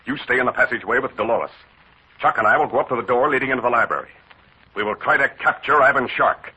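A man's voice: medium pitch, quick pace, bright, forceful tone, full character voice. An actor playing a commanding male hero pilot in a 1940s radio drama. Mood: brisk commanding. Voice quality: crisp, commanding. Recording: dull lo-fi old radio broadcast.

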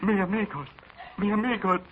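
A man's voice: high voice, fairly even intonation, brisk, a little hiss or hum, natural voice. An actor playing an emotional older father with a stage Spanish accent in a 1940s radio drama. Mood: emotional fatherly. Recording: dull lo-fi old radio broadcast.

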